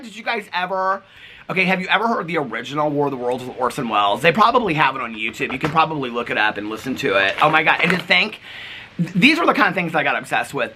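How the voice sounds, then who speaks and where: Animated American man, highish voice, noisy recording. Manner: chatty, gushing, easily excited. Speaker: vlogger. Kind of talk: casual show-and-tell chat to camera. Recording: clearly noisy home recording with clicks.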